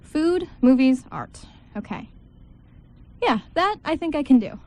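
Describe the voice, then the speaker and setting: Radio play: awkward, anxious bridesmaid female actor, rambling nervously in a high voice. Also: lively intonation, unhurried, a little mellow. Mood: hesitant. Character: awkward, anxious maid of honor, shy around men. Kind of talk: amateur radio play script reading.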